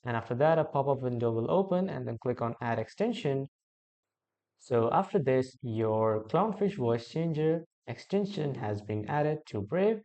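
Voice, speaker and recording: A man hosting a software tutorial, giving step-by-step instructions and addressing viewers directly. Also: medium pitch, lively intonation, normal speed. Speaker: tutorial host.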